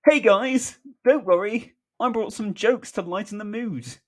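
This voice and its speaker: A man's voice: high voice, a little slow, a little mellow, pitched above the performer's own voice. A man voicing an over-eager, cheerful male joker with a perky, chirpy delivery in a comic script. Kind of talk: comic script read-through.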